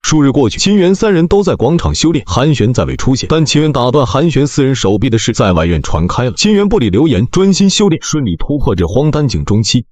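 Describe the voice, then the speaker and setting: Guy narrating a dramatic fantasy tale, very animated, mid voice, close dry recording. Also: mid-range voice, fast talker, few pauses, no echo. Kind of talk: scripted dramatic story narration.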